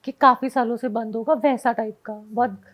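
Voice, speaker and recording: A woman's voice: fairly high pitch, fairly even intonation, moderate pace, dull audio, a crowd in the background. A woman guest telling a personal story in casual conversation, switching often into English phrases. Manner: mixes Hindi with frequent English phrases.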